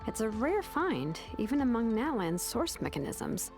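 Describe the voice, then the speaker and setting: A woman's voice: a little high, big pitch swings, moderate pace, music in the background. An actress playing a modest, knowledgeable female mechanic in a video game. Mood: assured.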